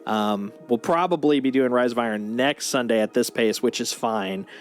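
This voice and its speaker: A man's voice: mid-range voice, moderate pace, faint music behind. A man chatting casually and in a rambling way as he live streams a video game. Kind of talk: casual gaming stream chat.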